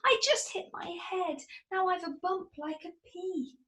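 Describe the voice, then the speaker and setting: A woman's voice: extremely high pitch, unhurried, a bit bright, slight background noise, pitched above the performer's own voice. A woman voicing a playful young dolphin who fusses in a storybook. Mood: whiny. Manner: whiny, fussing.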